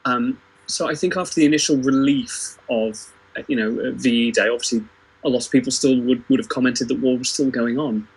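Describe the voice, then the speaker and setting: A man's voice: medium pitch, brisk, husky, low-quality recording. A man in a casual, unscripted panel discussion, speaking in a hesitant, self-correcting, conversational way. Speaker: theatre company performer on a livestream panel.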